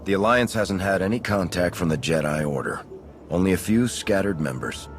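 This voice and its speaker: Steady military commander for a game: very low register, restrained, matter-of-fact, music behind. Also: male voice, moderate pace. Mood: reserved. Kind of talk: scripted game cutscene dialogue.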